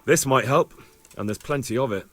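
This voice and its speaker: A man's voice: mid-range voice, normal speed, dry recording, faint music behind, natural voice. An actor playing an earnest, respectful young man in a medieval video game, speaking plainly. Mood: determined.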